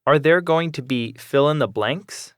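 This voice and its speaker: A man's voice: a little high, a little slow, clear, resonant tone, no room sound, clean studio sound, close to an everyday voice. A man playing an eager, polite student who asks plain questions in a scripted classroom dialogue. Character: young adult male student, eager, polite.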